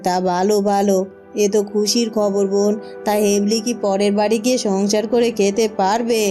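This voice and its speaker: A woman's voice: medium pitch, little pitch movement, moderate pace, dark tone, lightly acted. A woman voicing a kindly village aunt in a cartoon, familiar and concerned, with music behind her. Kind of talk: Bengali cartoon dubbing.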